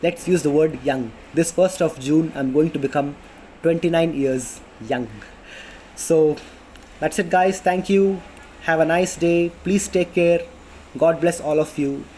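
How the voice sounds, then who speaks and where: Chatty young Indian man, mellow voice. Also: a little high, a little slow, a little hiss or hum, rough recording. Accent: Indian English. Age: 20s. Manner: chatty, many fillers and restarts. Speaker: vlogger. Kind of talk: casual health tips vlog. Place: apartment in a city under flight paths. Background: planes passing overhead.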